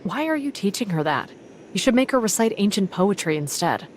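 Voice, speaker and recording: A woman's voice: mid-range voice, sing-song, brisk, heavy background noise, close to an everyday voice. A woman voicing a composed, wry young pirate in an anime game, with a dry manner.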